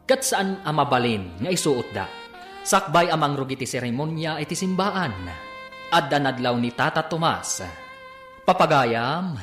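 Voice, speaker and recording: Animated Ilocano male radio drama announcer. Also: a little high, reverberant. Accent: Filipino, Ilocano. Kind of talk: dramatic scripted narration.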